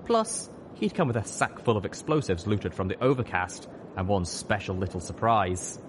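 A man's voice: lowish voice, sing-song, moderate pace, noisy background. A man reading fiction aloud as an audiobook narrator, in a dramatic scripted style.

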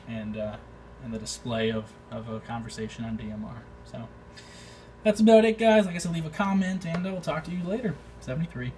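A man giving his opinions in an informal vlog, thinking aloud in a hesitant, halting way. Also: medium pitch, darkish tone. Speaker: amateur radio video maker. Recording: distant mic, faint background noise.

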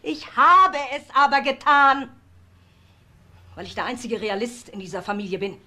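An actress playing an ambitious, defiant daughter-in-law in a German crime radio drama, sharp-tongued and emphatic. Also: female voice, a little high, animated, normal speed. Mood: defiant, scornful.

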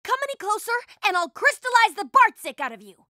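A stern teenage magical warrior for a cartoon. She sounds skeptical and fierce, very high and bright, with lively swings in intonation. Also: fairly quick, full character voice. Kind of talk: animated series acting.

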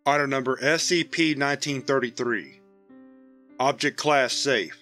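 Bright-voiced man reading case files. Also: medium pitch, faint music behind. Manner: clinical, measured. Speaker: narrator. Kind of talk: scripted narration, formal case-file reading.

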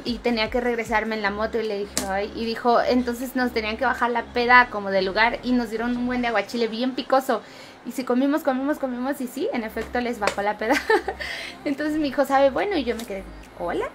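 Chatty Mexico City woman streamer. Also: fairly high pitch. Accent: Mexico City Spanish. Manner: chatty, reads and answers viewer comments while gaming. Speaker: game streamer. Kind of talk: casual live stream chat. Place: home streaming room, indoors. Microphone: headset.

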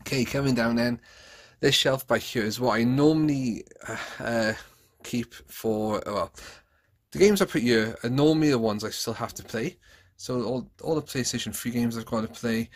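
A man's voice: medium pitch, moderate pace, no echo. Guy in his 30s doing a chatty room tour vlog on his phone, normal mid voice, makes it up as he goes. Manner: chatty, off-the-cuff, self-correcting. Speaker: vlogger, collector. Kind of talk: casual room tour narration. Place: small rooms at home, indoors. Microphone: handheld phone, held by the speaker.

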